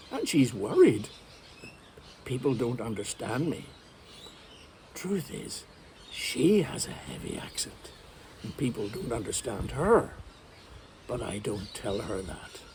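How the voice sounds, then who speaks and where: A man gives an English lesson in a slow, measured way, laying out advice for learners. His pitch sits in the middle, with faint background noise. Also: darkish tone. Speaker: English teacher. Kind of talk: direct-to-camera lesson.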